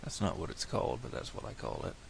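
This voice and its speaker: Deep gravelly man with a cold. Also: quick pace, slight background noise. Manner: relaxed, rambling, thinks aloud with restarts. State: has a cold, slightly nasal. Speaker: tutorial creator. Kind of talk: casual software tutorial.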